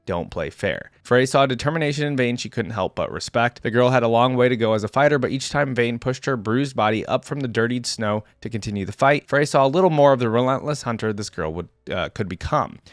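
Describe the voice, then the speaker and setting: A man's voice: medium pitch, expressive, fairly quick, hardly any pauses, no echo, clean studio sound. A man hosting a reaction video, reading a story aloud and adding casual, informal comments.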